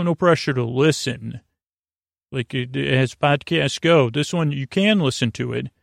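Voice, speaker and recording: A man with a creaky voice hosting a bedtime podcast, speaking in a meandering, halting monologue full of goofy tangents and self-interruptions. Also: medium pitch, no echo, clean studio sound. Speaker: podcast host. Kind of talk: rambling bedtime monologue for sleep.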